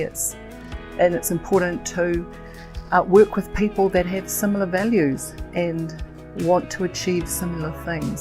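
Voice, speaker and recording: Older kiwi woman, earnest advocate, lots of ums, low creaky voice. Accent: New Zealand. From New Zealand. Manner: earnest and measured, with frequent fillers. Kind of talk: interview answers.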